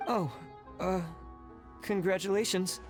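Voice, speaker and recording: An actor playing a hesitant, mild-mannered candidate in a video game, halting and awkwardly polite. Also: male voice, high-pitched, fairly even intonation, over music. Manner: halting, timid. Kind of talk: scripted video game voice acting.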